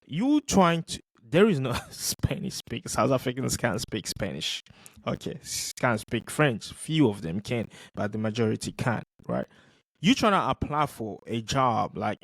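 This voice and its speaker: A man whose first language is not English hosts a podcast and comments on a video clip. He is animated and emphatic, repeating key words to drive them home. The sound is dry and close, with no room echo. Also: medium pitch. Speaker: podcast host reacting to clips. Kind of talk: casual commentary.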